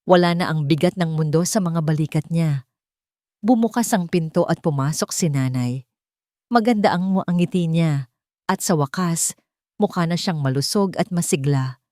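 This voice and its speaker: A woman narrates a scripted romantic story in the first person. Her voice sits fairly low for a woman. The sound is dry, with very little room around it. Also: normal speed, dark tone, no room sound.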